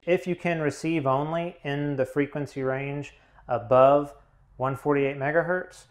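A man's voice: a little high, steady tone, unhurried. A man narrating a hands-on tutorial, explaining plainly one step at a time. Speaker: instructor, demonstrator.

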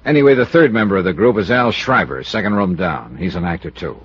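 An actor playing a wry, brisk American insurance investigator in a 1950s radio drama, with clipped hard-boiled delivery. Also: male voice, low voice, lively intonation, husky, no echo. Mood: matter-of-fact. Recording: muffled old radio recording with music.

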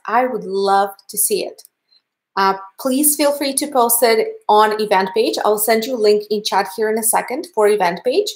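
Russian-accented woman teaching a painting stream, chatty and upbeat, mid pitch, webcam in a home studio. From Canada. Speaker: painting instructor. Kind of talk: livestream painting class wrap-up. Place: home art studio, indoors.

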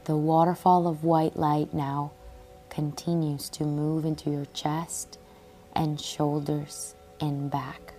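A woman leads a guided meditation in a low, mellow voice. She reads very slowly and soothingly, leaving long pauses and letting phrases trail off softly and breathily. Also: flat delivery. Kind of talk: guided relaxation meditation, scripted read-aloud. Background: music under the voice.

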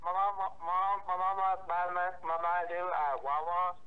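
A man chatting casually over the telephone, halting and insistently repeating his phrases. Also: sits high, little pitch movement, clean tone. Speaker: prank call recipient. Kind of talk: casual phone chat. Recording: muffled tinny telephone line.

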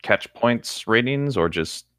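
A man's voice: mid-range voice, lively intonation, takes it slowly, fairly bright tone, dry recording, studio quality. A man co-hosting a podcast in casual chat, easygoing and a little hesitant in how he talks. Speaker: podcast co-host.

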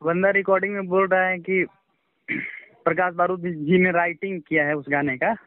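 A man giving an informal phone interview as a songwriter, speaking in a halting, repetitive, defensive way. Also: sits high, little pitch movement, no room sound. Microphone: phone call. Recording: tinny, dry phone-call line.

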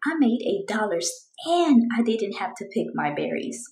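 An eager, dramatic little storybook girl, acted out by a woman, chatty and full of exclamations. Her pitch is high and swoops around, though she takes her time over the words. The room adds some echo. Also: big pitch swings, normal speed, darkish tone. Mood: cheerful, proud. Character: eager, dramatic young girl keeping a strawberry journal. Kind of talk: children's storybook read-aloud.

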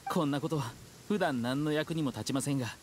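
A man's voice: a little high, fairly quick, slight background noise, close to an everyday voice. An actor playing a modest, earnest young man in an anime game, polite, hesitant and self-deprecating.

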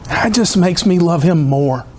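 Fifties male pastor, slightly high voice, pleading and rhythmic, faint hiss in a church hall. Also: animated, a little slow, darkish tone. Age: 50s. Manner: impassioned, rhythmic repetition, pleading. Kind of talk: sermon. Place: church hall in front of a cross.

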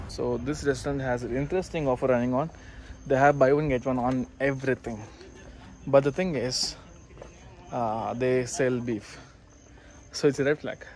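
A man's voice: mid-range voice, fairly even intonation, normal speed, long pauses, slight background noise. A young man with an Indian accent, narrating a casual travel vlog as he goes. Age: young adult. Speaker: motovlogger. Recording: loud music over the voice. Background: loud music.

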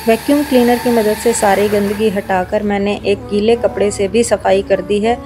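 A woman with an Indian accent giving a calm, step-by-step voice-over for a home cleaning video. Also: mid-range voice, normal speed, background noise. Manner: explains each step in a steady how-to tone. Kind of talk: calm scripted voice-over narration. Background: background music.

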